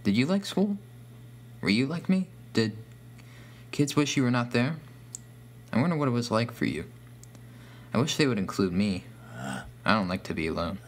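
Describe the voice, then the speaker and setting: A man voicing a lonely, clever young schoolboy, earnest and halting, in a narrated horror story. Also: medium pitch, expressive, voice pushed up, close to an everyday voice. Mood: wistful.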